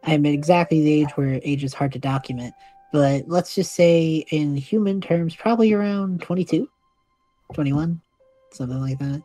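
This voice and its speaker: A man's voice: fairly high pitch, moderate pace, mellow, no room sound, faint music behind, natural voice. A man playing an easygoing half-elf student in his early twenties in an improvised story podcast, casual, good-natured and a little hesitant. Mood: willing, hesitant.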